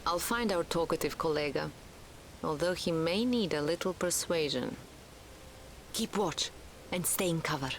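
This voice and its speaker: A determined partisan commander played by an actress lays out orders with deliberate authority and a Polish accent. It is military game drama, with clear battle noise behind her. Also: on the low side, animated, close to an everyday voice. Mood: cool, measured.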